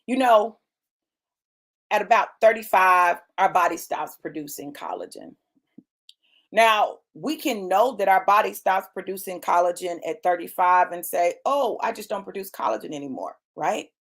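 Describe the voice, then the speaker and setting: Woman in her fifties, preacher, emphatic, repeats her phrases, mid-pitched. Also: moderate pace, a bit bright. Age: 50s. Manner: emphatic, repeats phrases, calls listeners y'all and baby. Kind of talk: motivational sermon.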